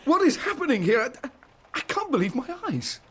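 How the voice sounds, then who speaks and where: An actor playing a distraught, hot-tempered and bewildered husband, forceful and emotional, in a period radio drama. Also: male voice, very high-pitched, sing-song, a little fast, slightly rough, heavily acted.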